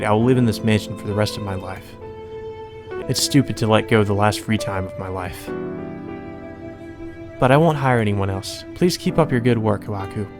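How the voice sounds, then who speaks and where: A man voicing a composed, aloof young heiress in a measured, formal, reserved way. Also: on the low side, close to an everyday voice. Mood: cool, reserved.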